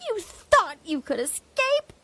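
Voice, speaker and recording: A woman's voice: very high-pitched, sing-song, pushed and bright, a little hiss or hum, exaggerated voice. A woman voicing a domineering, mocking female leader of a savage tribe in a comedic fantasy audio drama. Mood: mocking, menacing. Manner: mocking, imperious, drawn-out delivery.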